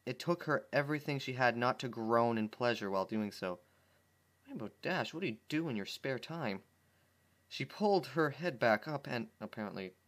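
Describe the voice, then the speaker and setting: A man narrating fan fiction as an amateur reader, informally, with exasperated casual asides and stumbles over his words. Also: on the low side.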